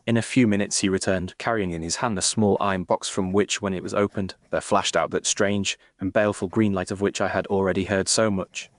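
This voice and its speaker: A man narrating a scripted period mystery in a formal, literary, measured style. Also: sits low, steady tone, dry recording, studio quality.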